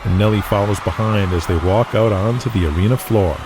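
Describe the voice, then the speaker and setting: A man's voice: lowish voice, brisk, heavy background noise. A man giving scripted, dramatic narration for an audio drama. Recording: loud music behind the voice.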